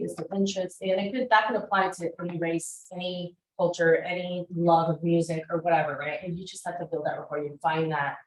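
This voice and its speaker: A woman teaching a real estate class, chatty and energetic, with many fillers. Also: on the low side. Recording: roomy classroom echo.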